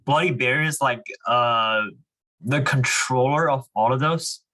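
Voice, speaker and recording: A man's voice: mid-range voice, a little slow, sharp tone, low-quality recording. A young man casually explaining and reading aloud to children as a reading club leader, with an informal way of talking peppered with "like" and "you know".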